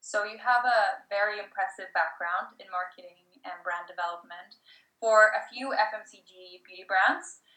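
Woman co-host, halting questions, far from the mic, roomy echo. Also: medium pitch, sharp tone. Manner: hesitant, filler-heavy questions. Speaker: podcast co-host. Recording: echoey and distant from mic.

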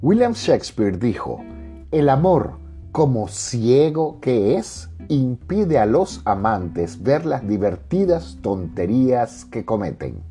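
A man's voice: mid-range voice, sing-song, slow pace, dark tone, faint music behind. A man speaking as a radio drama director, giving an earnest, formal, declamatory speech of thanks.

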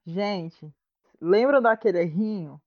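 A woman chatting as a guest on a fan podcast, soft-spoken and gushing as she rambles. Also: mid-range voice, expressive, slow, no room sound. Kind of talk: casual fan chat. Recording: dry muffled lo-fi audio.

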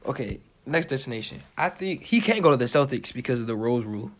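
A man's voice: mid-range voice, expressive, quick pace. A young American man co-hosting a casual sports chat, giving his opinions in short, emphatic, informal statements. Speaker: podcast co-host.

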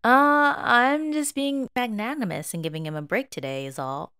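A woman's voice: fairly high pitch, moderate pace, sharp tone, clear, resonant tone, no room sound, studio quality, pitched above the performer's own voice. A woman voicing a cheerful, teasing young male student who stammers when rattled, in an audio drama. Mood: breezy teasing.

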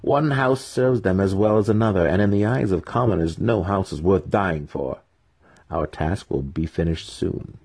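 A man voicing a confident, cynical male dark elf noble with a haughty manner in a fantasy audiobook. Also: low voice, normal speed, slightly rough. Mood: smug, confident. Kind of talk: dramatic fantasy audiobook reading.

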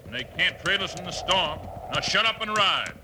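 Outlaw heavy, sneering and bossy, fairly high, noisy vintage radio sound. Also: male voice, animated, moderate pace. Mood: menacing. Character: ruthless greedy male outlaw leader. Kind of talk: 1950s radio acting.

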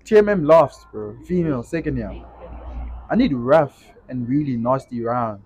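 A man's voice: mid-range voice, animated, moderate pace, darkish tone, husky, dry recording. A young man in his twenties with a South African accent, co-hosting a podcast and teasing his friend in slangy banter. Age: 20s. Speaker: podcast co-host. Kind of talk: casual chat.

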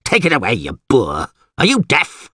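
A man's voice: lowish voice, huge pitch swings, normal speed, fairly bright tone, breathy edge, no echo, clean studio sound, exaggerated voice. A man voicing a podgy, hot-tempered, blustering small-town alderman in an audiobook, full of exclamations and hesitations. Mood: indignant, shouting. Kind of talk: audiobook character acting.

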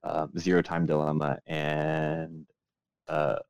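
A man's voice: a bass voice, completely flat delivery, slow, smooth, dry recording, muffled recording. A man chatting casually as a podcast host, swearing freely and giving opinions with plenty of hedging. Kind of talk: casual chat, profane.

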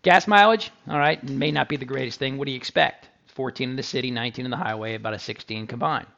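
A man's voice: medium pitch, fast talker, gravelly, a bit bright, dull audio. A man hosting a car review podcast, talking casually and with enthusiasm, full of asides to his listeners.

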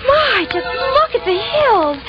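A woman's voice: very high voice, very animated, takes it slowly, over loud music, exaggerated voice. An actress playing a coy, gushing, giggly young woman in a 1940s radio comedy. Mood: gushing, wistful. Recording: muffled, noisy 1940s radio broadcast.

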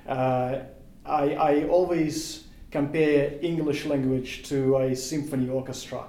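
A man's voice: fairly high pitch, steady tone, slow, warm tone, roomy sound. A man with a Russian accent, whose first language is Russian, talking thoughtfully as a podcast guest and searching for his words with frequent restarts. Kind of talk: casual podcast interview.

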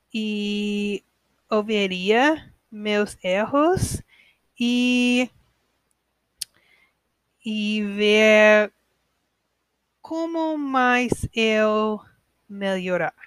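Young American woman hosting a podcast, speaks slowly and hesitantly as a learner, clear voice, dry close sound. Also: mid-range voice, no room sound. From United States. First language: English. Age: 20s. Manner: hesitant learner, frequent pauses and self-corrections. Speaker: podcast host. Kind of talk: casual podcast monologue.

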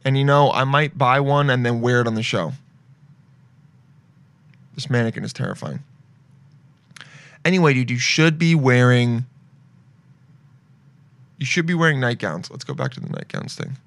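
A man's voice: medium pitch, expressive, moderate pace, long pauses, fairly bright tone, dry recording. A young man hosting a casual podcast, rambling and joking as he browses shopping sites and reads reviews aloud. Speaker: podcast host.

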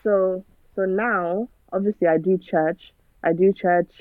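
A woman's voice: mid-range voice, steady tone, takes it slowly, dry recording. A woman speaks earnestly and drifts into preaching, piling up rhetorical questions. Her voice comes through a narrow, phone-like channel, dry and close, with no room sound. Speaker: guest. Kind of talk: casual conversation.

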